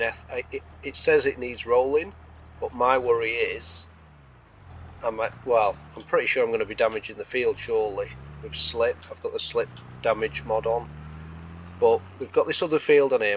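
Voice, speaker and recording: Croaky man chatting over farming gameplay. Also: mid-range voice, fairly even intonation, brisk, a bit bright, dull audio, a little hiss or hum. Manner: chatty, rambling, thinking aloud. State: slightly hoarse from being unwell. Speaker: host of a gaming series. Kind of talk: casual gameplay commentary.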